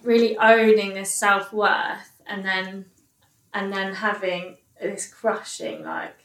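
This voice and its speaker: A woman's voice: medium pitch, slow pace, recorded from a distance. A woman, a guest telling her story candidly and animatedly, with frequent restarts. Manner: animated, many restarts and laughs. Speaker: guest, occupational psychologist. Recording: roomy echo, a bit off mic.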